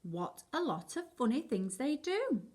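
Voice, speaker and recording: Slow, expressive woman reading to children. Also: sits high. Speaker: primary school teacher reading a picture book aloud.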